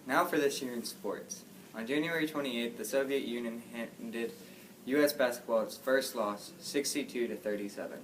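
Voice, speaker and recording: A man's voice: medium pitch, normal speed. A man reading scripted sports results as a reporter in an amateur newscast, in a matter-of-fact way. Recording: distant mic, faint background noise.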